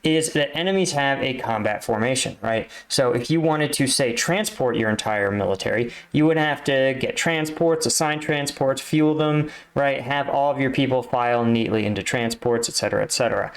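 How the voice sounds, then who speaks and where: A man talks in a medium-pitched voice, commenting casually as a veteran would. He explains military ideas in stages, often stopping to check that the listener follows. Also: moderate pace, hardly any pauses. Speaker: host, army combat veteran reacting.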